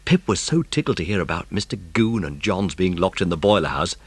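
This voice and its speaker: A man's voice: low-pitched, animated, a little fast, husky, no echo. A man with a British accent narrating a children's story in a scripted audiobook style.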